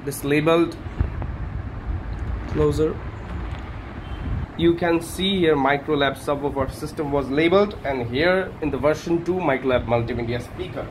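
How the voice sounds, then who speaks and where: A man hosting a hands-on tech teardown, speaking in short phrases with halting pauses. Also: fairly high pitch, background noise. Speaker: tech video host. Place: small indoor workshop room.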